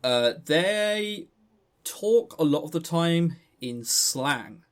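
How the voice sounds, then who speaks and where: A man's voice: on the high side, animated, extremely slow. A man with an English accent co-hosting a podcast, reviewing casually in a rambling way and often stammering over repeated words. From England. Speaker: podcast co-host.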